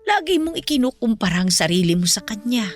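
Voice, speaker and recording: A woman playing a gentle, principled ailing mother in a Filipino radio-style drama, speaking with earnest, patient counsel. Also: fairly high pitch, sing-song, fast talker. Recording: music bed under the voice.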